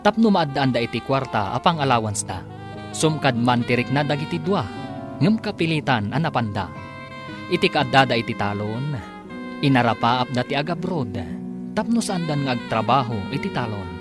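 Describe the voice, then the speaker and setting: A man's voice: mid-range voice, sing-song, normal speed. A man working as announcer, reading scripted narration for a radio drama serial. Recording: music bed behind the voice.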